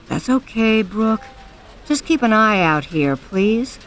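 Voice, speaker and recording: A woman's voice: medium pitch, very animated, slow pace, a bit bright, heavily acted. A woman acting a bossy, wary store owner, curt and irritable, in an audio drama. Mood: curt, annoyed.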